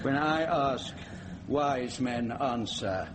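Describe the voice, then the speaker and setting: An actor playing a mocking, commanding medieval outlaw chieftain in a radio drama, greedy and dangerous, with a theatrical sardonic manner. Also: male voice, medium pitch, expressive, drawn-out words, noisy background. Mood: mocking menace.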